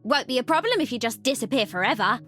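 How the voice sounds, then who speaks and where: An actress playing a feisty, indignant young adventurer. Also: female voice, fairly high pitch, expressive, quick pace, a bit bright, faint music behind. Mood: scornful.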